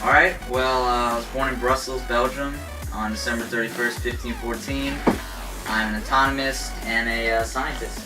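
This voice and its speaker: Man as a halting, filler-heavy physician guest, mid voice, off-mic with music under. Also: medium pitch, fairly even intonation, lightly acted. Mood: hesitant. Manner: hesitant, many fillers. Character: hesitant male physician guest telling his life. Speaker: student playing a historical physician guest. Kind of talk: amateur talk-show skit. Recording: distant mic, music behind.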